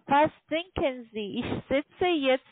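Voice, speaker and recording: A woman who is not a native German speaker, talking formally and haltingly as a call centre agent on the phone. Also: sits high, animated, no echo. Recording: narrow, tinny phone line.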